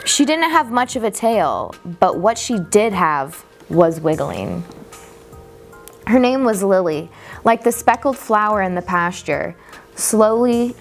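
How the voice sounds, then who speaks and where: A woman reading a children's picture book aloud as a storyteller, expressively and deliberately, drawing out key words for emphasis. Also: medium pitch, animated, faint music behind.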